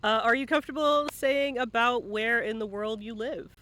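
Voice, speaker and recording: A man's voice: extremely high pitch, normal speed, bright, forceful tone, a little hiss or hum. A man hosting a casual live interview, teasing and jokey, often repeating phrases for fun. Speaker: interviewer, stream host.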